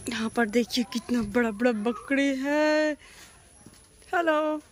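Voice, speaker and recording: A woman's voice: sits high, slow, a little hiss or hum. Chatty young woman vlogging outdoors. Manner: chatty, cheerful, talks to viewers. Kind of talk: casual travel vlog. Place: outdoors on a village road. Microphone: phone at arm's length.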